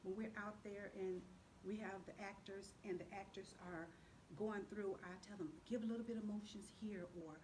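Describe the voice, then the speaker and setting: A woman's voice: medium pitch, fairly even intonation, normal speed, a little mellow. A woman answering interview questions as a guest in casual, conversational talk. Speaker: assistant director, interview guest. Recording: noisy room ambience.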